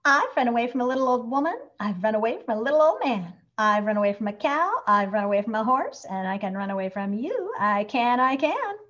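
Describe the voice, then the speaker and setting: A woman's voice: fairly high pitch, big pitch swings, brisk, few pauses, fairly bright tone, dull audio. A woman voicing a cheeky, boastful little gingerbread boy who taunts in a sing-song way. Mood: taunting.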